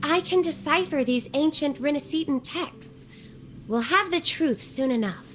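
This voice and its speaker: An actress playing an earnest, gentle, scholarly young woman in a video game, soft-spoken, thoughtful and measured. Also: high voice, over music, low-quality recording. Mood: earnest, concerned. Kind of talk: scripted video game voice acting.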